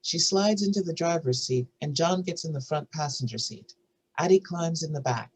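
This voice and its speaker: Husky, highish-voiced male screenplay narrator. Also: moderate pace, rough recording. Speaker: narrator reading stage directions.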